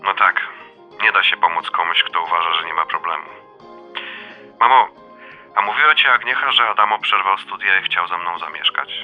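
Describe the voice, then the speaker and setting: An actor playing a blunt, wry middle-aged son in a radio drama, speaking colloquial with a dryly teasing manner. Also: male voice, deep voice, expressive, moderate pace, muffled recording, soft background music. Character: blunt, wry adult son, uneasy new father. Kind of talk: radio drama dialogue, phone conversation.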